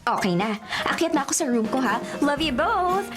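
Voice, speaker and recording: A woman's voice: high-pitched, very animated, normal speed, music in the background. A woman playing a giddy, lovestruck college student in a Filipino radio romantic comedy, gushing in a mix of Tagalog and English. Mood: breezy, bossy. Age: young adult. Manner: gushing, Taglish code-switching.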